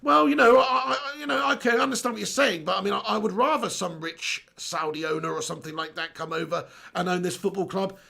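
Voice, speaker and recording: Hoarse male stream host, gravelly, higher-pitched, rambling casual rant. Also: brisk, hardly any pauses, bright, forceful tone. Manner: rambling, repeats himself, reads viewer comments aloud. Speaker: host of a fan live stream. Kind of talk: casual live-stream commentary.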